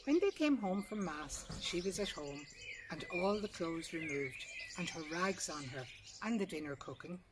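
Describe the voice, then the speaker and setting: Clear background noise and birdsong surround an Irish woman telling a story. Her voice sits a little low. She reads a traditional folk tale aloud. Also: lively intonation, normal speed. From Ireland.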